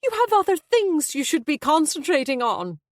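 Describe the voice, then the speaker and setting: A woman's voice: very high voice, lively intonation, drawn-out words, a little mellow, no room sound, studio quality, voice pushed up, full character voice. A woman voicing a petulant, self-pitying middle-aged mother in an audiobook, with a wounded, pleading delivery. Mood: disapproving.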